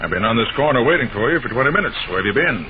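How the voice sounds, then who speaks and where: An actor playing a confident, commanding detective in an old-time radio drama, brisk and probing in his questions. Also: mid-range voice, very animated, a loud crowd, lightly acted. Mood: easygoing, probing. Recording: noisy, dull old radio recording.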